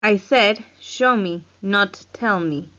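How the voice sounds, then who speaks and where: A woman's voice: mid-range voice, slow pace, fairly bright tone. A woman playing a stern, goading female combat trainer with a curt, commanding manner in a screenplay table read. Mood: challenging. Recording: dull lo-fi online meeting audio.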